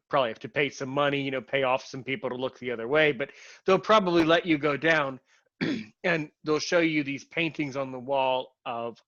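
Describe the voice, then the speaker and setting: A man's voice: mid-range voice, fairly bright tone, dry recording. A man hosting a casual conversation, who rambles and restarts his sentences a lot. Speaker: host. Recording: muffled, lo-fi, dry sound.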